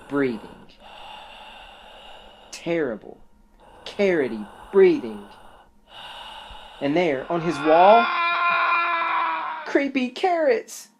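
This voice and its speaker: Unhurried dramatic picture-book reader, suspenseful delivery, mid-pitched voice, noisy recording. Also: darkish tone. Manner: theatrical, with drawn-out suspenseful phrasing. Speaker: storyteller reading a picture book aloud. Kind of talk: dramatic children's story reading. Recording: clearly noisy background.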